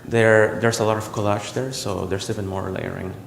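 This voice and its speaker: A man from El Salvador, a visual artist, talking about his work in a gallery in a reflective, halting way with many ums and restarts. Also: lowish voice, flat delivery, gravelly, a little hiss or hum. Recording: roomy gallery echo with long reverb.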